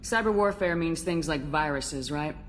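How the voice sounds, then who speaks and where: A woman's voice: low-pitched, normal speed, lightly acted. A woman playing a serious, direct military starship commander in a video game, blunt and businesslike. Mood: serious, inquiring. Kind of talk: scripted video game dialogue. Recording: clear background noise, ship ambience.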